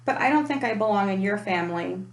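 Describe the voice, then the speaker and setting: A woman voicing a polite, gentle little male rabbit from a children's storybook. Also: medium pitch, expressive, quick pace, slight background noise, close to an everyday voice. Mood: polite, apologetic. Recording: echoey room.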